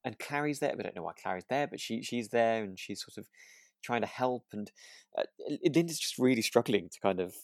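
A man co-hosting a podcast in relaxed discussion, tentatively searching for his words as he goes. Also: medium pitch, a little fast, dry recording, studio quality. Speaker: podcast co-host.

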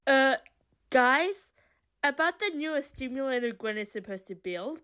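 A woman's voice: high-pitched, lively intonation, measured pace, fairly bright tone, smooth. A woman playing a blunt, argumentative but grudgingly caring character in an audio drama. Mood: defensive.

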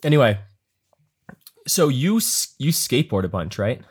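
A man's voice: mid-range voice, moderate pace, slightly rough. Laid-back joking male interviewer. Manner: relaxed, joking. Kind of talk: casual interview chat.

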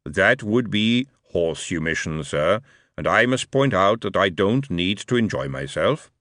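A man voicing a male horse that speaks slowly and literally, with a deadpan manner. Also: low voice, no room sound, studio quality.

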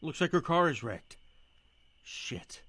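A man's voice: a little high, big pitch swings, takes it slowly, fairly bright tone, slightly rough, no echo, heavily acted. A man playing a loyal, casual sidekick in a sci-fi audio drama. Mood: wary, supportive. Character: casual, loyal male sidekick and getaway driver.

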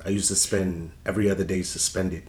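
A man's voice: low voice, level tone, fairly quick, a little mellow. A young man from Boston with a Boston accent, telling stories from his life as a guest in a casual chat, blunt and laid-back with street slang. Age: young adult. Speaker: podcast guest. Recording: echoey room with faint noise.

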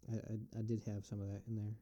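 A man with an upstate New York accent talks to camera in a loose, wandering way, stopping and starting as he thinks. His voice sits low, with a very dark, mellow tone. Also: monotone, dull audio. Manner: rambling, halting, thinks aloud. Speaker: vlogger answering questions. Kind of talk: casual solo musing.

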